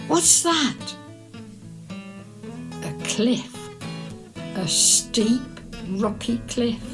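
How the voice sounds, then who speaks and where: A woman reads to young children at a very unhurried pace. Her delivery is expressive and deliberate, with a sing-song storyteller's lilt. Music plays clearly along with her voice. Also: a little high. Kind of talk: animated chanted story reading for young children.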